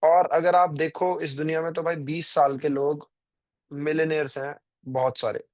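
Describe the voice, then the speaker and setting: A man's voice: on the high side, flat delivery, moderate pace, dry recording. A man, chatting casually to viewers on a live stream, rambling and full of fillers. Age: 20s. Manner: rambling, filler-heavy, addresses viewers directly. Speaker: trading stream host.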